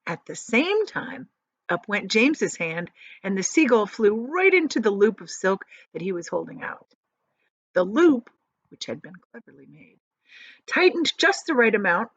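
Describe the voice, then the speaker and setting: Animated, slow-paced woman reading a storybook. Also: medium pitch, dry recording, rough recording. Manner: dramatic, explains words to young listeners. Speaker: teacher reading aloud. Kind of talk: expressive children's storybook narration.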